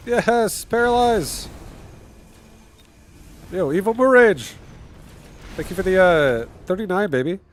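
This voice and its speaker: A man with a stuffy nose giving casual live commentary while streaming a game, thinking out loud and now and then breaking into excited shouts. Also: high voice, slow, pauses often, slight background noise.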